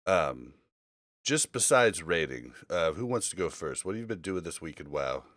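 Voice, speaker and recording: A man's voice: low voice, sing-song, normal speed, fairly bright tone, no room sound, studio quality. A man hosting a casual gaming podcast, talking in loose slang with the odd swear word. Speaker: podcast host.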